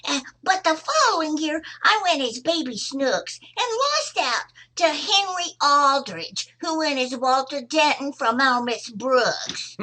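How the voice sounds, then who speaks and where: Chirpy, high-voiced little girl. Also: expressive, unhurried, pushed and bright, exaggerated voice, low-quality recording. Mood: chatty, boastful. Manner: repeats "I betcha" as a verbal tic. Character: chirpy, pestering little girl neighbour, cheeky and talkative. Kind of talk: old-time radio comedy acting.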